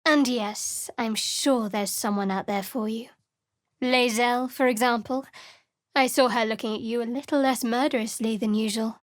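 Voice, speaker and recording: A guarded young priestess of a dark goddess, voiced by a woman for a video game, cool and wry with a fairly high voice. Her prayers come out as hushed whispers. Recorded close in a dead-dry studio. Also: steady tone, moderate pace, no echo, natural voice. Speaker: game companion character. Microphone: close studio mic.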